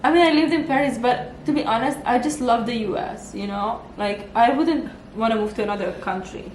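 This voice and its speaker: A young woman hosts a casual makeup chat in a chatty, gossipy way, with a voice a little above average in pitch. She is recorded in an echoey room with faint noise underneath. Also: a little fast. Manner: chatty, lots of "like" and "girl". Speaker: vlog host.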